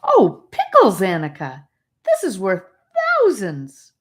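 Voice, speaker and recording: Lady doing an excitable greedy little boy, demanding, voice jumps way up, storybook reading. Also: mid-range voice, slow pace, voice pushed up. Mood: excited. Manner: exclamatory, demanding. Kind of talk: audiobook character voice.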